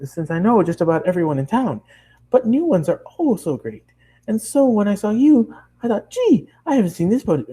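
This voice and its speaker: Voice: medium pitch, very animated, brisk, dark tone, slightly rough, pitched above the performer's own voice. A narrator voicing a bubbly, hyperactive young female pony baker who gushes and chatters nonstop in a cartoon story. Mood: gushing, excited. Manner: gushing, squealing, breathless rambling chatter.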